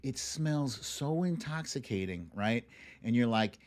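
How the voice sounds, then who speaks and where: A man's voice: medium pitch, expressive, a little slow. A man with an American accent chatting informally and expressively as the host of a live online talk show. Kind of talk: casual livestream chat and commentary.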